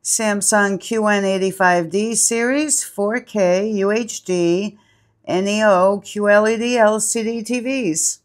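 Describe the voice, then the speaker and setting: A woman's voice: mid-range voice, fairly even intonation, slow. A woman hosting her channel, steadily reading product names and sale prices off a printed flyer. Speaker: channel host.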